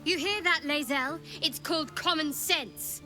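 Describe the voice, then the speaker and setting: A woman playing a guarded, sharp-tongued adventurer in a video game, with a dry, pointed, composed delivery. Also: sits high, measured pace, faint music behind. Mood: scornful taunting. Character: guarded, sardonic young female adventurer, sharp-tongued and steely.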